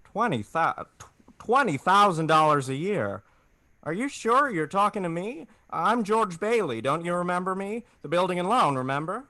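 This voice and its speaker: Guy doing earnest folksy small town man, old radio play, stammery, bright voice, very dry close sound. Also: high-pitched, lively intonation, moderate pace, dry recording. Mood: astonished. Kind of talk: 1940s radio drama acting.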